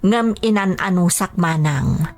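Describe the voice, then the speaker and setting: A woman's voice: fairly low pitch, expressive, unhurried. A woman with a Filipino accent playing a patient, anxious young maid working abroad in a radio drama. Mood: weary, confiding. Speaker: drama actor. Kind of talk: radio drama acting and letter narration.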